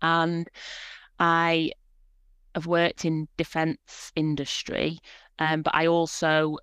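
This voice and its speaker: A woman's voice: low voice, completely flat delivery, takes it slowly, a bit bright, smooth, no room sound. A woman in her forties, a podcast guest talking about her work in a chatty, reflective way, with frequent fillers. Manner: chatty, reflective, many fillers and small laughs. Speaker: guest, proofreader and editor. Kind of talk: conversational podcast interview.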